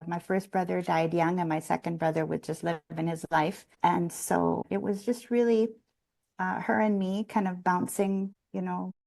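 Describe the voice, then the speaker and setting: Low, gravelly-voiced woman guest. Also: level tone, normal speed, darkish tone, poor audio. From Canada. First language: Hungarian. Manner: frank, reflective, rambling with restarts. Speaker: interview guest. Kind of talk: candid personal storytelling.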